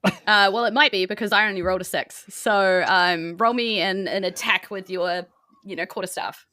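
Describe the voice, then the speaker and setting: A woman's voice: medium pitch, steady tone, normal speed, bright, forceful tone, no echo. A woman, casually narrating a tabletop game as game master.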